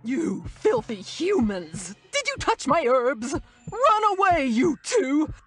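A villainous older schoolteacher from a cartoon game. Her voice is high and shrill, swinging wildly up and down. She draws words out slowly with melodramatic, spiteful relish. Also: very animated, heavily acted. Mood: outraged. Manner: exaggerated, slow, melodramatic. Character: nasty theatrical older female teacher, cartoon villain. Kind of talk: animated game cutscene acting.

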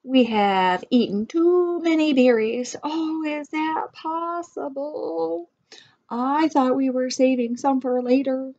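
Lady doing an excitable little kid out hiking in a storybook, pitched up high, kinda muffled recording. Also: slow pace. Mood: puzzled. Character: excitable young children hiking in the woods. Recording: dull lo-fi webcam sound.

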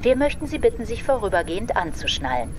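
A woman's voice: mid-range voice, very animated, fast, over loud music, natural voice. A woman playing a polite spaceship flight attendant, making a formal scripted passenger announcement in a radio play. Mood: polite, composed. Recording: noisy vehicle ambience behind announcement.

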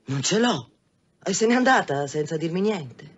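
An actress playing a curt, defiant brothel madam in an Italian radio drama, terse and evasive. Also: female voice, fairly low pitch, expressive, moderate pace, lightly acted. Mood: guarded, defiant. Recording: dull sound with faint background noise.

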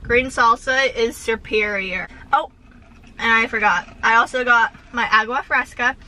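Young woman, bubbly filler-heavy car vlog, bright, slightly high voice, recorded on a dashboard camera. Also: steady tone, a little slow, low-quality recording. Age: young adult. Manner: bubbly, says "like" constantly, giggly. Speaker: vlogger. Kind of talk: casual chatty vlog with eating. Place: inside a parked car. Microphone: camera on dashboard facing her.

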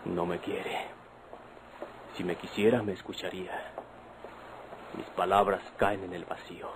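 A man's voice: low-pitched, level tone, measured pace, noisy background. An actor playing a proud, wounded young Mexican man, solemn and declamatory, in an old radio drama. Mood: dejected.

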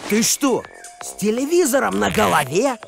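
A man's voice: high-pitched, very animated, moderate pace, vocal fry, music in the background, heavily acted. A man voicing a grumbling, plain-spoken cartoon dog with an indignant, sarcastic manner. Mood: puzzled, sarcastic. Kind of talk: animated series voice acting.

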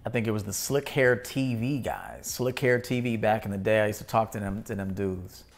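Gravelly laid-back man hosting a livestream. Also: lowish voice, animated, moderate pace. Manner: laid-back, shouting out viewers by name. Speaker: host. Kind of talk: live-stream chat reading comments. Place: small indoor studio, plain wall.

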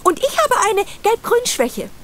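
Very high, excitable girlish cartoon adventurer. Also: measured pace, slight background noise, exaggerated voice. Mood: giggly, apologetic. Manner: gushing, giggly, sing-song. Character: chirpy, excitable, girlish woman adventurer, naive and friendly. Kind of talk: cartoon adventure game acting.

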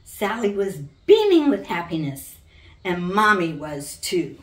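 An older woman, around her sixties, reading a children's book aloud as its author with deliberate, dramatic storytelling. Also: on the low side, sing-song, a little hiss or hum. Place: living room at home, indoors. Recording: roomy, distant from the mic.

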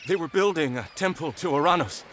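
An actor playing an anxious soldier reporting to his general in a video game, halting and urgent. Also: on the high side, expressive, a bit bright, a little hiss or hum. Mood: alarmed. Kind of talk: dramatic video game cutscene acting. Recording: faint outdoor ambience behind.

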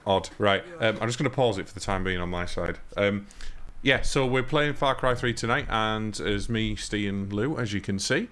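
Low-voiced British man, casual gamer. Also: normal speed, few pauses, a bit bright. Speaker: host of a gaming livestream. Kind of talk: casual gaming chat. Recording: faint noise, game gunfire behind.

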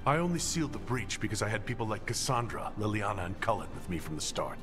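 Low-voiced, earnest veteran leader. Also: male voice, sing-song. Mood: earnest, encouraging. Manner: earnest, deliberate. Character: seasoned male war leader, earnest, encouraging mentor. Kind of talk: video game cutscene acting. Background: game music.

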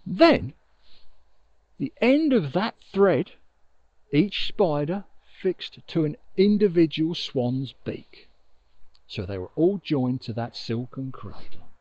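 A middle-aged man telling a children's story expressively, with dramatic pauses, recorded outdoors on a lapel mic. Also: a little high, sing-song, warm tone, dry recording, highs cut off. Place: outdoors on an open riverbank. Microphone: lapel mic with furry windshield.

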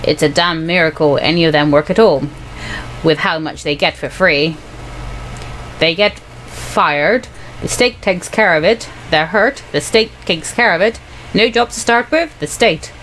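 A woman's voice: on the low side, measured pace, fairly bright tone, slight background noise, pitched below the performer's own voice, poor audio. A woman voicing a bitter working-class man in a visual novel, grumbling with cynical emphasis. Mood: resentful.

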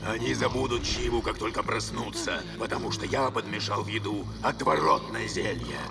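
Gravelly cartoon villain boss. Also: male voice, on the low side, normal speed. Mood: sly. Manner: menacing, commanding. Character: villainous crocodile-gang boss, scheming adult male. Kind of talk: cartoon dub acting.

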